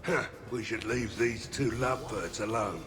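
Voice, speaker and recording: An actor playing a grizzled, blunt mercenary in a video game, with a gravelly, sardonic delivery. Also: male voice, fairly low pitch, moderate pace, over music, close to an everyday voice. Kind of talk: video game character acting.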